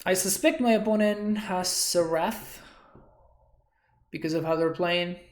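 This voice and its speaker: A man's voice: high-pitched, unhurried. Man, roomy sound. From Argentina. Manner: thinking aloud, working through plays. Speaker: streamer. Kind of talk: live card game commentary. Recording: roomy echo.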